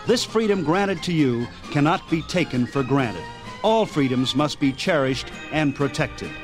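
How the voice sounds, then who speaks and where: A man's voice: fairly high pitch, animated, a little slow. A man with an American accent hosting a radio show, reading a scripted sign-off in a formal, earnest manner. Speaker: radio host. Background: music.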